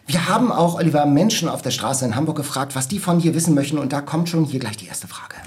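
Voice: medium pitch, animated, quick pace, a little mellow. A podcast host asking short, friendly questions in a casual interview chat. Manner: friendly, brief prompts and questions.

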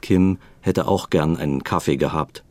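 A man's voice: very deep voice, normal speed, darkish tone, dry recording. A man narrating a scripted radio play as its storyteller.

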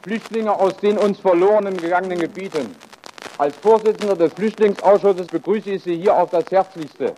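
A man's voice: high voice, normal speed, warm tone, a little hiss or hum, lightly acted, poor audio. An actor playing a formal committee chairman, giving a stiff, earnest welcome speech with admonitions in a radio play. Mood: formal, admonishing.

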